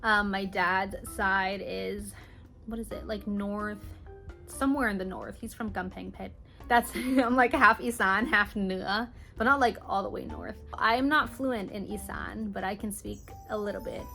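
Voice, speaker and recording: A young American woman in her mid-twenties talks to her viewers in a casual question-and-answer vlog. Her voice sits at an average pitch for a woman. She speaks informally with girly slang, and soft music plays behind her. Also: steady tone, measured pace, vocal fry. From Hawaii, United States. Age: mid-20s. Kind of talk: casual chatty Q&A to camera.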